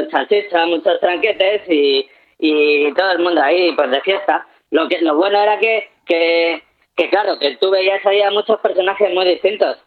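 A man's voice: high-pitched, close to an everyday voice. A man playing a foul-mouthed, rambling punk fan interviewed over the phone in a comic radio sketch. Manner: swears constantly, rambling. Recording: tinny phone line.

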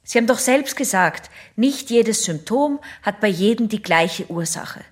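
A woman's voice: mid-range voice, animated, unhurried, slightly rough. An actress playing an anxious teenage girl patient in a German radio play, hesitant, secretive and intense. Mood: defensive.

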